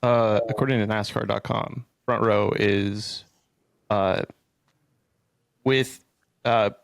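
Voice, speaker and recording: A man's voice: lowish voice, fairly even intonation, slow pace, gravelly. A man acting as a podcast producer, chiming in casually and off the cuff.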